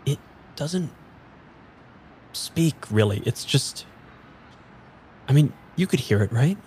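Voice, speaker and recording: A man's voice: mid-range voice, expressive, slow pace, darkish tone, a little hiss or hum. A man playing a cynical, exasperated young diner server who swears freely and talks bluntly in an improvised audio drama. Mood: weary, sardonic. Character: cynical, foul-mouthed young diner server hustling customers.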